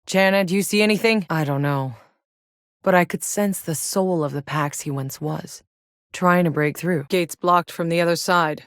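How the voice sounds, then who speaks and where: Casting: actress to play battle-hardened sci-fi game heroine, female, lowish voice, blunt, wry, very dry close recording. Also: normal speed, no echo, close to an everyday voice. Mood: wry, weary. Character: tough, sardonic battle-hardened female soldier in sci-fi wasteland. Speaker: video game voice actor. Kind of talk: scripted video game character voice lines.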